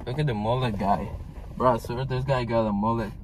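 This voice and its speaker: A man's voice: mid-range voice, steady tone, brisk, a little hiss or hum, rough recording. A young man chatting casually and teasingly in slang, recorded inside a car. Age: young adult. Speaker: prankster at drive-thru windows. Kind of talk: casual joking chat. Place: inside a car at drive-thrus.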